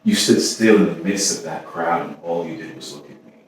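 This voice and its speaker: An actor playing an anguished man haunted by obsessive love, in an intense, halting theatrical monologue. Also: low-pitched, close to an everyday voice. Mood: haunted, brooding. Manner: intense, halting, with repetitions and sudden swells. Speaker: stage actor. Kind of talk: theatrical staged reading, dramatic monologue. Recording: echoey room from far off, faint noise.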